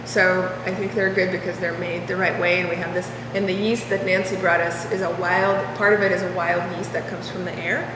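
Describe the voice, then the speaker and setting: Woman, deli co-owner, halting restarts, distant mic, long reverb. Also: lowish voice, flat delivery. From Russia. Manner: warm, halting, with many restarts. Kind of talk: interview answers. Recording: echoey hall, mic far off, noisy.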